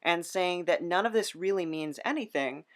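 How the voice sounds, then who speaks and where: Podcast host with a medium pitched voice, chatting casually, kinda halting while explaining stuff. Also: fairly bright tone. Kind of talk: casual conversational interview.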